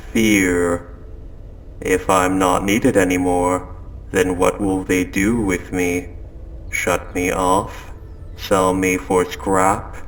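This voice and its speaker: A spaceship computer gone quietly mad, acted out by a man, speaks in a low, gravelly voice. It is polite and measured, coldly insistent on control, with deliberate pauses in an audio drama monologue. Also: a little hiss or hum, heavily acted, low-quality recording. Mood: quietly fearful. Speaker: audio roleplay performer. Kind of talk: scripted second-person audio drama monologue.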